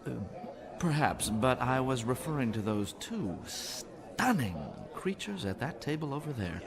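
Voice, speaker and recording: An actor playing a wry, worldly, roguish businessman and gambler in an audio drama, smooth-talking and unhurried. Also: medium pitch, sing-song. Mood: suave, amused. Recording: crowd murmur behind the voice.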